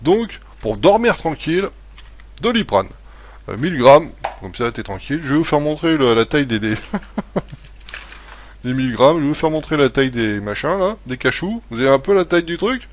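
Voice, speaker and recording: A man's voice: a little high, sing-song, normal speed, slight background noise. A man talking casually in a vlog, rambling with many fillers and restarts, blunt and swearing now and then, chuckling often. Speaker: vlogger. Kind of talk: casual home-remedy vlog.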